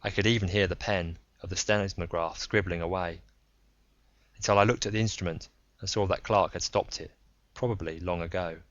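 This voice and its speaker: A man's voice: sits low, steady tone, moderate pace, no echo. A man narrating a science fiction audiobook as a scripted reading. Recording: slightly dull close dry recording.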